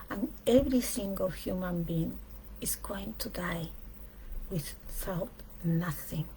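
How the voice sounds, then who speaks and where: Female speaker, for a reflective spiritual talk; unhurried delivery in short weighted phrases, gravelly creak in the voice. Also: on the low side, dark tone, a little hiss or hum, low-quality recording. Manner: deliberate, emphatic phrasing in short pieces with pauses.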